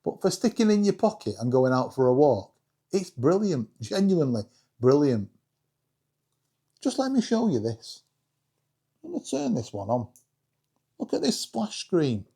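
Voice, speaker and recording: A man's voice: fairly high pitch, sing-song, measured pace, creaky, long pauses, warm tone, slightly rough. A man presenting a casual, chatty vlog, talking straight to viewers in a self-deprecating, jokey way. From England. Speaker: hobbyist video creator and host.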